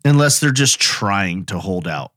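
A man's voice: on the low side, sing-song, measured pace, fairly bright tone, no echo, clean studio sound. A man co-hosting a true crime podcast, talking casually and conversationally. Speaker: podcast co-host.

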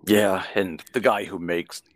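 A man's voice: low-pitched, fairly even intonation, measured pace. A man co-hosting a casual chat in a blunt, scornful manner. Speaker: podcast co-host.

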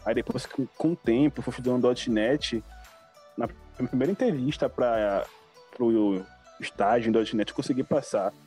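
A man's voice: medium pitch, moderate pace, dark tone, slightly rough, faint music behind. A man, an intern chatting casually in an interview. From Salvador, Bahia, Brazil. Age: 20s. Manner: chatty, addresses listeners as "cara".

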